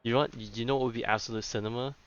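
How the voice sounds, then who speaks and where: A man's voice: mid-range voice, fairly even intonation, racing, highs cut off. A man doing casual live gameplay commentary, musing aloud in slangy, informal talk. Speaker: game streamer.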